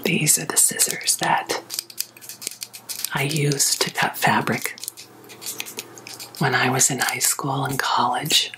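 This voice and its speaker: Whispering woman, low-pitched ASMR host. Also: steady tone, slow, long pauses, rough recording. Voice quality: soft, breathy whisper. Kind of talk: ASMR, casual rambling chat. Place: small sewing and craft room at home.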